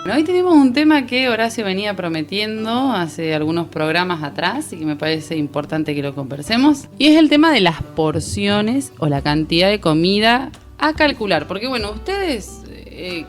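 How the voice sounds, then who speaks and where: A woman with an Argentine accent, chatting and asking questions as a radio host. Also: on the low side. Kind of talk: conversational interviewing. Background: faint music.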